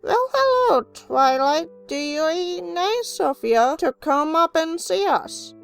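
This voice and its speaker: A forgetful, kindly old grandmother mare from the rural American South. The voice is high and takes its time, folksy in every turn of phrase. Light music plays behind this audio drama character. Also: expressive, vocal fry, full character voice. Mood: welcoming. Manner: folksy, unhurried.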